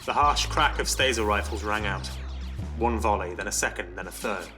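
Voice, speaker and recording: A man's voice: lowish voice, moderate pace, bright, forceful tone. A man reading a scripted first-person dramatic story as narrator, with music behind him. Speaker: narrator, writer-reader.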